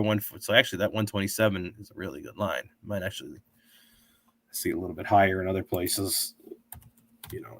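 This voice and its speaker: A hoarse man with a sore throat, rambling through his betting picks as a sports show host. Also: medium pitch, a bit bright, dry recording. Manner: rambling, thinks aloud with many fillers and restarts. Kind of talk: casual betting picks talk. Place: home.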